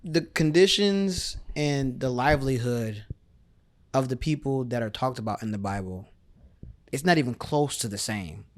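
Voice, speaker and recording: A man's voice: medium pitch, animated. A young man co-hosting a podcast, joking around and swearing as he chats casually. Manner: joking, swearing, quick asides. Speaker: podcast co-host. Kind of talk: casual chat.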